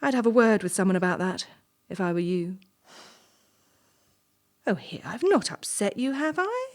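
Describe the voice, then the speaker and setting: A woman's voice: medium pitch, sing-song. Woman acting ruthless posh-entitled lady official in a play, condescending and bossy, voice goes up and down a lot. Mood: cold menace, then mocking reassurance. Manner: brisk, condescending, coolly domineering. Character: entitled, ruthless young female assessor with veneer of charm. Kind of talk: stage-play dialogue.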